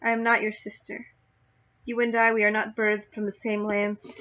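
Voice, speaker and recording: Brief: young woman poet, flat steady delivery, deliberate, slightly muffled lo-fi recording. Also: medium pitch. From United States. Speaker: spoken word poet. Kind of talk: spoken word poetry reading. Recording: dull lo-fi recording.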